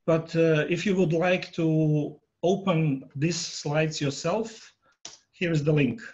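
A man in his fifties, a native Croatian speaker, giving a workshop talk on a video call from home, pausing often with fillers. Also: a little high, fairly even intonation, darkish tone, muffled recording. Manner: halting, many filler pauses, polling the audience. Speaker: workshop presenter. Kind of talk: online conference talk. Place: home room. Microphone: earphone headset on a video call.

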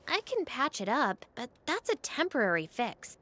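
A confident young woman mechanic, high and bright. She sounds practical, with lively pitch, in a dry video game recording. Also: normal speed, dry recording.

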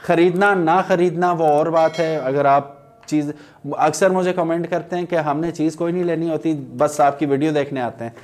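A man's voice: on the high side, fairly even intonation, moderate pace, a little mellow. A man, reviewing motorcycle grips in a chatty, persuasive way and mixing in English phrases, seated in a small indoor studio room. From Pakistan. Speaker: product reviewer. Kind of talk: casual product review.

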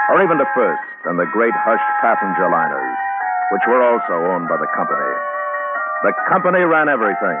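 Weary spaceman, low sober voice, vintage radio drama, tinny band. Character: sober weary male spaceship crewman, narrating and at controls. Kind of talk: 1950s radio drama narration. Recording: tinny 1950s radio, loud music.

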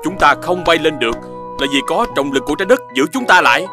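A man's voice: fairly high pitch, animated, fast talker, natural voice. A man with a southern Vietnamese accent voicing a clever, patient dog scientist who explains things in a children's cartoon. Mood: eager, instructive. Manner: explanatory, lecturing. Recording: loud children's cartoon music underneath.

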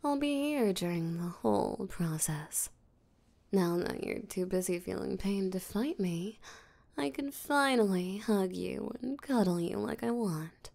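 Woman doing a flirty menacing vampire lady for roleplay audio, possessive n teasing, breathy and whispery, lots of vocal fry, really unhurried. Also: medium pitch, lively intonation, a little mellow. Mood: tender, possessive. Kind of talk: roleplay audio drama, intimate acting.

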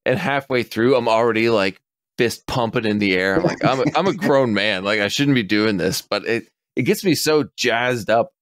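A man in his forties on a podcast, chatting casually, animated and swearing freely as he acts out customers' lines. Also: mid-range voice, moderate pace, no echo. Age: 40s. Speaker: podcast co-host.

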